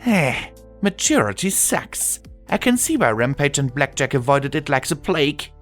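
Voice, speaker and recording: A man voicing a young female pony, a daughter who is cheeky and sulky, expressive in a storybook reading. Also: on the high side, moderate pace, soft background music, voice pushed up. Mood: grumpy. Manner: pleading, sly, expressive.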